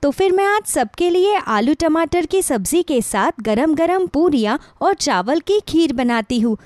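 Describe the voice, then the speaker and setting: A woman's voice: high-pitched, expressive, unhurried, a little mellow, dry recording, clean studio sound, pitched above the performer's own voice. A woman playing a sweet, dutiful young daughter-in-law, eager to please, in a moral story. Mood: eager, respectful. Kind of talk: acted dialogue in a moral story.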